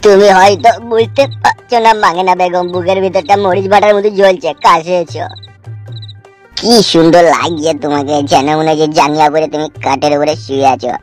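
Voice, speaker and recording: A woman's voice: fairly low pitch, steady tone, brisk, faint music behind, lightly acted. A woman voicing an eager, lovestruck young man in a cartoon comedy, pleading and stammering. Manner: pleading, stammering when scared. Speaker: cartoon character. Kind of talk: Bengali cartoon comedy dubbing.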